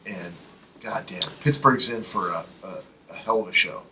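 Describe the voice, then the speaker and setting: Bright-voiced man ranting, slightly distant. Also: fairly low pitch, moderate pace. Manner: emphatic, profane. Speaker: podcast co-host. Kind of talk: casual ranting chat. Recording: distant mic, faint background noise.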